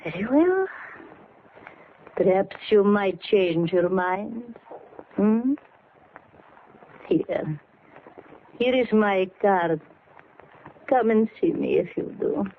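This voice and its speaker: Slow, seductive woman of mystery. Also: medium pitch, lively intonation. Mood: cool, seductive. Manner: slow, poised, teasing. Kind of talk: 1940s radio acting. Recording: muffled, noisy old radio recording.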